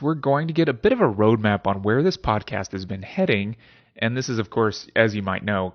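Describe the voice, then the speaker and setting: A man's voice: lowish voice, highs cut off. A man hosting a podcast, explaining ideas in a conversational, scripted style with wry casual asides. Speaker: podcast host.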